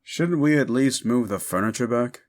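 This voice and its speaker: A man's voice: mid-range voice, moderate pace, close to an everyday voice. A man playing an earnest, slightly nervous ghost catcher in an audio drama.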